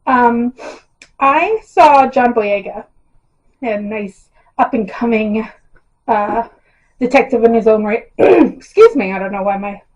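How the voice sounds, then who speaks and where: A woman with a hoarse, slightly high voice talks casually to her camera, rambling through her thoughts with plenty of ums and self-mocking asides. There is no proper mic, so her voice comes through dull and lo-fi from the camera's built-in microphone. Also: lively intonation, takes it slowly, long pauses, darkish tone. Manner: rambling and self-deprecating, with frequent ums and laughs. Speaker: YouTuber casting book adaptations. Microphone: built-in camera mic, no external mic.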